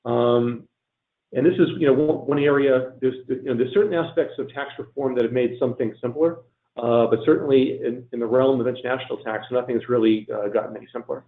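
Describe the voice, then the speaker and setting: Hoarse guy explaining stuff on a webinar, lots of uhs, sounds like a phone call in an echoey room. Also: mid-range voice. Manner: halting, many ums and uhs and restarts. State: losing his voice. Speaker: tax presenter on a webinar panel. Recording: echoey, tinny phone-line audio.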